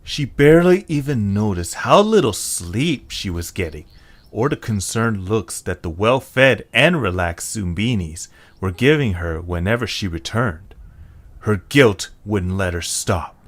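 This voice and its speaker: A man's voice: fairly low pitch, expressive, a little slow. A man narrating a scripted story as its storyteller.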